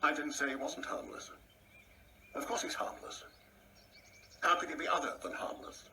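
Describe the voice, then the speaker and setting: An actor playing a pompous, easily rattled older husband in a radio play, given to indignant muttering and repetition. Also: male voice, on the high side, expressive, moderate pace, sharp tone, full character voice. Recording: noisy audio play recording.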